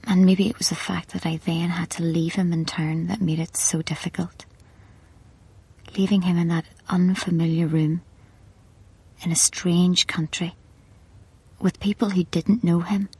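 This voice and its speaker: An actress in a radio play voicing a loving, bereft woman with a hushed, breathy, tender delivery. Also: lowish voice, level tone, unhurried, long pauses, dry recording, heavily acted. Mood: quiet grief. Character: grieving young woman, loving, quietly bereft. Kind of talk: radio play acting, intimate dialogue and narration.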